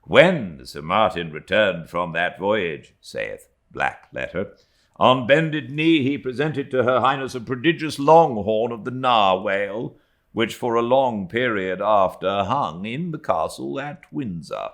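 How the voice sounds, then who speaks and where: A man with a British accent and a deep voice reads classic literature aloud. He takes his time, moving through the long sentences slowly. His delivery is theatrical, with pitch that rises and falls in a lively way. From Britain. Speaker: audiobook narrator. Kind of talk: literary audiobook narration.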